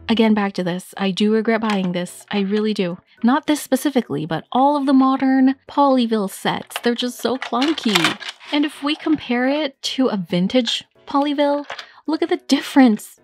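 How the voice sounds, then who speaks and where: A woman's voice: fairly high pitch, darkish tone. A young woman chatting casually as she answers viewer questions for her toy-collecting vlog, reading them aloud and laughing at herself. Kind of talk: casual Q&A chat.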